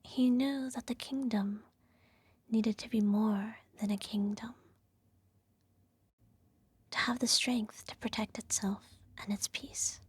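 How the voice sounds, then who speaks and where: A woman playing a regal, self-righteous evil empress who soothes her child with a whispered ASMR bedtime story in a measured, gently condescending way. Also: a little high, steady tone, no echo, heavily acted. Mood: solemn storytelling. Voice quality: soft, breathy whisper. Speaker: ASMR roleplay performer.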